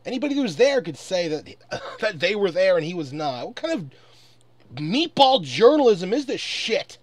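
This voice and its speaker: A man's voice: high-pitched, big pitch swings, normal speed, crowd noise. A man delivering an angry, off-the-cuff rant in a profane, exasperated and emphatic way. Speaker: online commentator recording an audio log.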